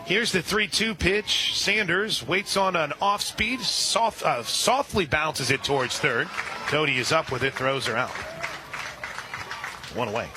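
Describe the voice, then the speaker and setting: A man doing live radio play-by-play for a softball game, chatting between pitches and shouting on big plays. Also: a little slow, a crowd in the background, background noise. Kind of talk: live radio sports commentary.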